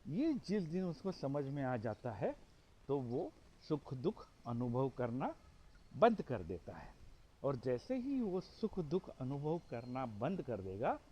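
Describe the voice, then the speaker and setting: Male spiritual teacher, hoarse, unhurried, lively melodic delivery, teaches by rhetorical questions. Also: mid-range voice. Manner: poses rhetorical questions and answers them himself. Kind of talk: spiritual discourse.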